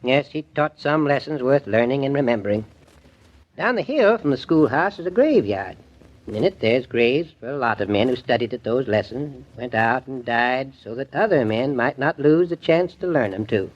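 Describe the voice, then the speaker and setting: A man's voice: medium pitch, animated, normal speed, lightly acted. An actor playing a plainspoken, earnest older small-town man in a 1940s radio drama, with homespun grammar. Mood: solemn. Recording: dull lo-fi old radio broadcast.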